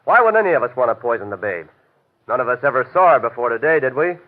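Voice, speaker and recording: A middle-pitched male voice from an actor as a world-weary, slangy suspect. He has a wry, common-sense manner in vintage radio drama style, on a narrow, tinny recording. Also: very animated, fast, lightly acted. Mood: exasperated. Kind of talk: old-time radio mystery acting.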